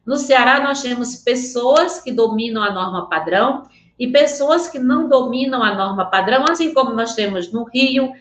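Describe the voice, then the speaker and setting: A woman's voice: fairly high pitch, normal speed, echoey room. A woman teaching a Portuguese class online in a Northeastern Brazilian accent, speaking earnestly and often checking in with her students. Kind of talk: online class lecture with slides.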